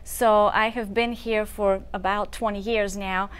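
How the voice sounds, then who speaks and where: A woman's voice: medium pitch, level tone, a little slow, smooth. A woman in her thirties from Bulgaria with a Bulgarian accent, telling her story earnestly as a talk show guest. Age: 30s. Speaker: guest, nonprofit founder.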